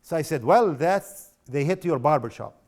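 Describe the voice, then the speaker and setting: A man's voice: on the high side, expressive, normal speed, darkish tone. A middle-aged man from South Lebanon giving a public lecture in an impassioned, sarcastic way, with rhetorical questions to the audience. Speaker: guest speaker.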